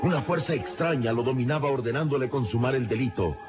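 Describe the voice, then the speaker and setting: A man's voice: mid-range voice, quick pace. A man narrating a radio serial from a script in a dramatic style. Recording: dull lo-fi radio serial recording. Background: loud music.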